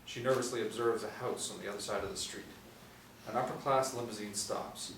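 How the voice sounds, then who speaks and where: A man's voice: lowish voice, steady tone, brisk, a bit bright. A middle-aged man reading scripted stage directions as narrator at a table read. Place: small indoor room, seated reading from tablet. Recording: echoey room, distant mic, faint noise.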